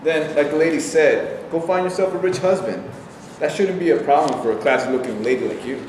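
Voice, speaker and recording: A man playing a level-headed, easygoing soldier in an amateur staged play reading, measured and plainspoken. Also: fairly high pitch, a little mellow, close to an everyday voice. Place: echoey hall, away from mic. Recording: distant, echoey hall, faint noise.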